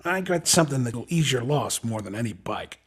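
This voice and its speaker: A man voicing a slick, pushy underground race recruiter in a video game, with a raspy voice and cocky, insinuating salesman patter. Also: mid-range voice, expressive, normal speed. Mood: slick, enticing. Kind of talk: video game character dialogue.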